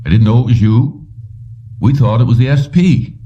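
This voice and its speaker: A man's voice: mid-range voice, moderate pace, pitched above the performer's own voice, close to an everyday voice. A man voicing an older male company boss in a story, strained and wary, on a dull recording. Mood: uneasy. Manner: tense, pressed delivery. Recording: muffled, distant-sounding dull recording.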